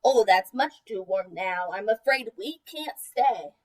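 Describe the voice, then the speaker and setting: A woman puts on a simple, good-hearted potato pug from a storybook, befuddled and plaintive. The voice drops below her own reading pitch and sits a little away from the mic. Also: expressive, slow, distant mic. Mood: apologetic.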